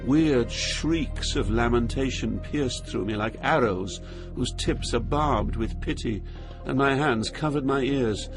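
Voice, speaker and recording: Man playing haunted poet narrator, medium pitch, solemn, measured, dramatic epic storytelling, music underneath. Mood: horrified, intense. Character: sorrowful male poet narrating his journey through Hell.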